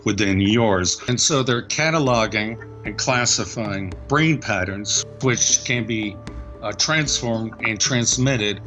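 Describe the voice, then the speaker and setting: A man's voice: fairly low pitch, slow pace, fairly bright tone, soft background music. A man giving earnest explanatory commentary.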